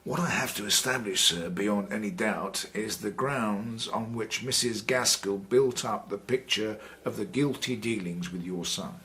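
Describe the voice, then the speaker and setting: An actor playing a polite, persistent police sergeant in a period radio drama, questioning formally and deferentially with halting care. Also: male voice, on the low side, sharp tone. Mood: probing. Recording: clear background noise and ambience.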